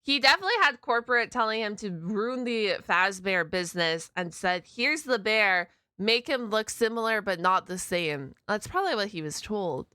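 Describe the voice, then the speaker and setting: A woman hosting a reaction video, commenting casually in an excitable, rambling, slangy way. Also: mid-range voice, normal speed, few pauses, clear, resonant tone, no room sound.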